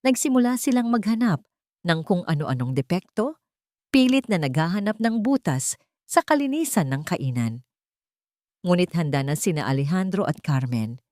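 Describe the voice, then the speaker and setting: A woman narrates a scripted dramatic story in a measured, earnest manner. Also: on the low side, sing-song, a little mellow, no room sound, studio quality.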